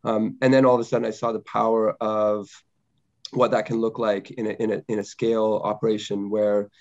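A man on a panel webinar talks in a low, flat voice, stumbling over repeated words and fillers. He speaks through a headset on a video call, and the sound is slightly muffled. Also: brisk. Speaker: panelist, bank executive. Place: home or office video call.

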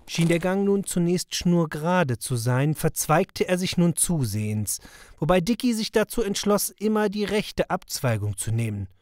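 A man's voice: on the high side, slight background noise. A man delivering scripted narration as the storyteller of a children's audio drama.

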